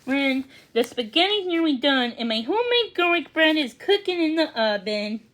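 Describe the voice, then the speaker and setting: A woman's voice: sing-song, normal speed, a bit bright. A woman voicing a jolly, big, hearty man in a storybook, bellowing his welcomes. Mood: jolly, excited. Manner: booming, hearty.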